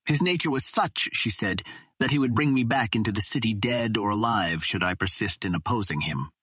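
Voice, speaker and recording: Husky low-voiced man narrating an adventure novel. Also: expressive, fairly quick, fairly bright tone, no room sound. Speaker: audiobook reader.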